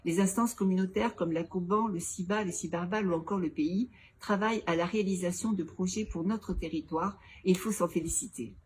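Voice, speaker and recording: Low-voiced woman giving formal explanations, noisy background. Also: a little fast, few pauses, darkish tone. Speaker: local elected official. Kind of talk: prepared, formal interview answers. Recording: clearly noisy background ambience.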